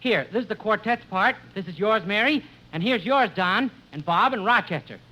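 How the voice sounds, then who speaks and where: A man's voice: high-pitched, expressive, normal speed, sharp tone, heavily acted, low-quality recording. An actor playing a naive, cheerful, pushy young male singer in 1950s radio comedy, eager and breezy, on an old recording.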